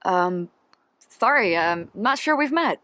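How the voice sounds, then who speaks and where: A woman's voice: mid-range voice, expressive, takes it slowly, a bit bright, dry recording. A woman voicing a confident, friendly female sea captain in a video game, breezy and informal. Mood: hearty, easygoing. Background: game music.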